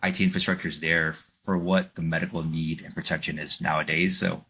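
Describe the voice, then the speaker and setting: A man's voice: very deep voice, little pitch movement, a little fast, creaky, sharp tone, slightly rough. A man co-hosting a podcast, thinking aloud in a loose, rambling way. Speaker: podcast co-host. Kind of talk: casual podcast discussion.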